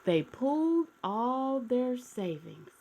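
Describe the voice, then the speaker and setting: A woman hosting story time, reading a picture book expressively, with dramatic pauses and asides to the children. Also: a little high, poor audio. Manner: animated, asks listeners questions, dramatic pauses.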